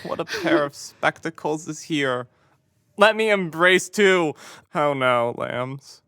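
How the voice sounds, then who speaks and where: Young woman acting fussy theatrical busybody, lowish pitch, very slow, drawn-out exclamations, Shakespeare audio drama. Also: animated, a bit bright, no echo, studio quality, full character voice. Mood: cooing. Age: young adult. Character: fussy, theatrical meddling go-between, comically sentimental.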